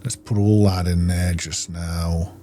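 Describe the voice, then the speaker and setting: Slow, low-voiced man muttering through a game. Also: steady tone, very noisy. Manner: low-key, musing, talks to himself. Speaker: gaming video host. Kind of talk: casual gameplay commentary, thinking aloud.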